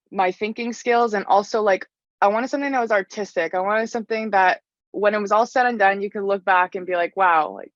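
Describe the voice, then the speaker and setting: A young woman answers interview questions in a loose, chatty way, dropping "like" between phrases. Her voice sits at a middling pitch. The recording is dry and a little muffled. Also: fairly bright tone, no echo. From Canada. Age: young adult. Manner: chatty, frequent "like" fillers. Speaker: interview guest, stone mason.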